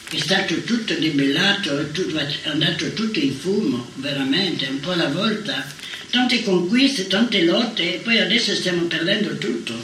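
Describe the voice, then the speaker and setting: A man's voice: fairly high pitch, normal speed, pushed and bright, slight background noise. An older man reminiscing reflectively, repeating his phrases for emphasis. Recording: distant roomy recording with music. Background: music.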